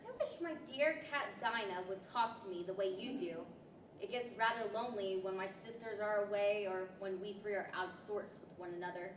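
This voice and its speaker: A woman's voice: mid-range voice, a little fast, lightly acted. A young woman playing a polite, curious young girl in a prim storybook manner, in radio theater style. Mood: grateful, wistful. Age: young adult. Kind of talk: 1940s radio theater acting. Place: large library event room, live performance. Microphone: stand microphone. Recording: echoey hall, distant, clear background noise.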